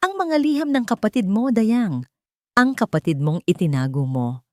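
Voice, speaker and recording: A woman voicing a wealthy father in an audio story, calm, measured and firmly in control. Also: medium pitch, no echo, clean studio sound, voice pushed up, close to an everyday voice. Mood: cold, firm. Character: wealthy father, controlled, firm, confronting, tender with children.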